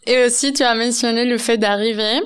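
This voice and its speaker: Woman, smooth clear voice. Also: fairly high pitch, fairly even intonation, a little fast. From Catalonia, Spain. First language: Catalan. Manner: earnest, laughs often, searches for words in French. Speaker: podcast host. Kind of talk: casual conversation.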